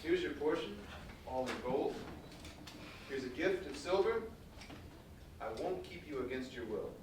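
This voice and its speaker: Guy acting as storyteller and kindly forgiving dad, slow and lively, super echoey noisy stage recording. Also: fairly high pitch. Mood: measured, tender. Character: storyteller voicing a generous, forgiving old father. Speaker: narrator, also voicing the father. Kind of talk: theatrical storytelling in a staged reading. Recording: very echoey stage, distant mic, background noise.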